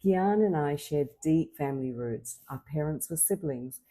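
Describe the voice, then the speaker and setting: A woman's voice: low-pitched, expressive, moderate pace, dark tone. A woman in her fifties hosting a podcast, reading a welcoming intro and then asking interview questions in a conversational way. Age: 50s. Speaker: podcast host.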